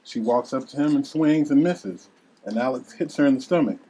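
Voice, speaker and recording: A man with a husky voice reading screenplay directions aloud as narrator. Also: mid-range voice, moderate pace, mellow, slight background noise, low-quality recording.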